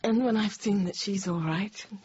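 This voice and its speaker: An actress playing an anxious, tender thirty-year-old infant teacher, confiding her account in halting, reflective phrases in a radio drama. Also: mid-range voice, darkish tone, breathy edge. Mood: tender, tired. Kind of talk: radio drama monologue, intimate first-person narration. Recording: dull radio sound with faint noise.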